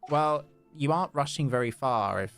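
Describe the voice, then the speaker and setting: A man's voice: medium pitch, expressive, normal speed, a bit bright, clean tone. A man chatting casually and playfully as he livestreams a video game, sometimes reading dialogue aloud. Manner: playful, chatty, repeats phrases. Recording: game music behind the voice. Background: video game music.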